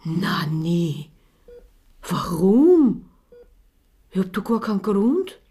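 Slow, breathy, dazed wounded woman. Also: mid-range voice, very animated, dark tone, exaggerated voice. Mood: disbelieving, anguished. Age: 50s. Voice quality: breathy, often whispering. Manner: halting, repetitive, dazed questioning. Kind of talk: radio drama acting.

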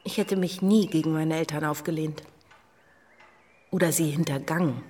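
An actress playing a proper, politely disapproving young wife in a radio drama, speaking in a measured, careful way. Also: female voice, low-pitched, fairly even intonation, darkish tone, a little hiss or hum, lightly acted. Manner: measured, polite, hesitant on delicate matters. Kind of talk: German radio drama acting.

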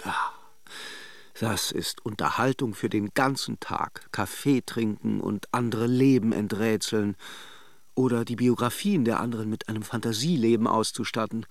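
An actor in a radio drama playing a suave, gossipy holiday idler, musing theatrically with ironic courtesy. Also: male voice, fairly low pitch, normal speed, no room sound, natural voice. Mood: amused speculation. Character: suave, gossipy male holiday idler watching café guests.

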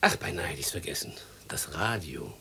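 A man's voice: very deep voice, expressive, moderate pace, vocal fry, pushed and bright, slight background noise, exaggerated voice. Obliging, hardy island coachman. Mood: quiet, obliging. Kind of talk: German radio play acting.

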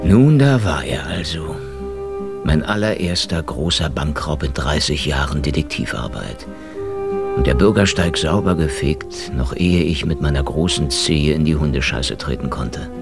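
A man's voice: extremely low pitch, animated, unhurried, gravelly, heavily acted. A man playing a world-weary veteran Russian detective, narrating his case in a dry, wry radio drama style. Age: middle-aged or older. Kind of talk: hard-boiled first-person radio drama narration. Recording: music bed under the voice.

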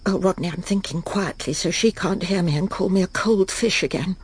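A woman playing a prim, weary, middle-aged English lady in a radio drama, halting and polite, murmuring to herself with an English accent. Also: lowish voice. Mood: anxious confiding. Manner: polite, halting, breathy murmured self-talk. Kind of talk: radio drama acting, intimate monologue and dialogue.

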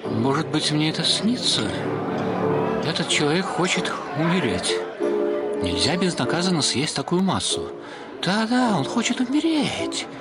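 An actor playing an anxious, indignant Frenchman in a radio short story, muttering to himself in fretful astonishment. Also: a little high. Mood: alarmed. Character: anxious, indignant Frenchman watching a glutton in horror. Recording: music behind the voice.

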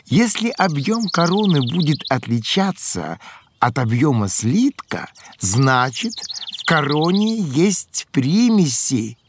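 An excitable ancient Greek inventor in a children's audio drama, speaks slowly with big swings of pitch. He explains things with good-humoured care over clearly noisy background sound. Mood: eagerly explaining. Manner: exclamatory, deliberate explaining.

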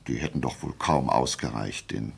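Very deep, gravelly methodical detective. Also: male voice, exaggerated voice. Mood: methodical reasoning. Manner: deliberate, pedantic, musing with emphatic pauses. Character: brilliant, self-assured, methodical male detective. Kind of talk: German radio play acting.

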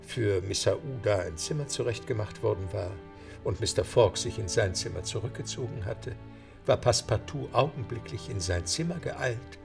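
Male audio-drama narrator: low, creaky, expressive storytelling, clear music bed. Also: moderate pace. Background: background music.

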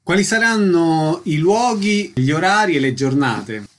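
Higher-pitched male radio host. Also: expressive, measured pace, fairly bright tone. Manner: concise, announcer-like. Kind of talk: radio presenting.

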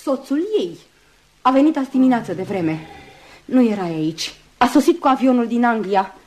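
A woman's voice: fairly high pitch, lively intonation, darkish tone. An actress playing an anxious, devoted woman, earnest and pleading, in a Romanian radio play. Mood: anxious, emotional. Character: anxious, devoted, self-sacrificing woman helping the doctor. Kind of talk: Romanian radio theatre acting.

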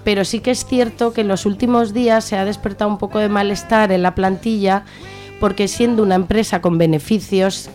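A woman's voice: mid-range voice, fairly even intonation, fairly quick, darkish tone, faint music behind. A woman with an accent from Spain giving earnest, outspoken answers as an activist guest in an informal radio interview. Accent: Spanish from Spain.

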